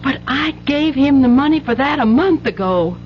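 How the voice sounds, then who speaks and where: A woman's voice: high-pitched, expressive, moderate pace, soft background music. An actress playing a weary, hard-working, plain-spoken mother with a folksy manner in old-time radio drama. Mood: anxious, despairing. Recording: dull, noisy old radio recording.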